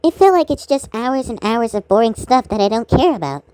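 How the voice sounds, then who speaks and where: A woman doing amateur voice acting of conversational dialogue for a fan-made animated cartoon. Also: fairly high pitch, fairly quick, no echo, poor audio.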